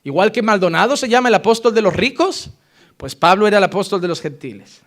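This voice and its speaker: A man from Spain preaching a sermon with a Spain Spanish accent, switching between forceful emphasis and quiet, earnest passages. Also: high voice, expressive, quick pace.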